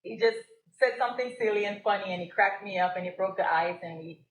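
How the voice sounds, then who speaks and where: A woman's voice: medium pitch, quick pace, reverberant, a little hiss or hum, poor audio. Jamaica-raised woman telling her story. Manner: storytelling, laughs often. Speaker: guest, business co-owner. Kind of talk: live podcast interview. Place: stage at a live event.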